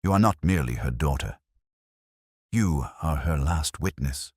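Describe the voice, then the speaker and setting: A man voices a brooding, commanding detective in an audiobook. The voice is extremely deep and deliberate, with cryptic phrasing and a dry, close sound. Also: lively intonation, slightly rough, no room sound, full character voice. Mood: grave. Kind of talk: audiobook character reading.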